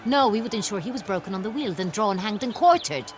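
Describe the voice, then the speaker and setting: An actress playing a haughty, formal young duchess in a video game, quick to indignation. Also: female voice, mid-range voice, animated, lightly acted. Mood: vengeful indignation. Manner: formal, speaking with the royal we. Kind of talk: video game character acting.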